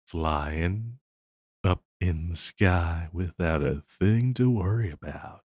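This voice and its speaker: Man playing a deep-voiced, tender harpy boyfriend. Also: takes it slowly, a little mellow, slightly rough, no echo, full character voice. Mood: soothed, loving. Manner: hesitant, teasing, tender, with small chuckles. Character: affectionate, flustered young male harpy boyfriend. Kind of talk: intimate boyfriend audio roleplay.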